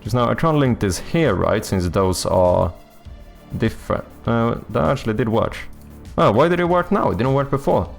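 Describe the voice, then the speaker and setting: Gravelly Swedish man thinking aloud. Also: medium pitch, normal speed, faint music behind. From Sweden. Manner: thinks aloud, muttering while working; relaxed, swears casually. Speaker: streamer and game developer. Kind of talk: casual livestream chat.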